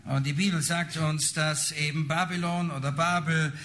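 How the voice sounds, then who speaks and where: A man interpreting a sermon live, phrase by phrase, often halting as he searches for words. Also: fairly high pitch, steady tone, fairly bright tone.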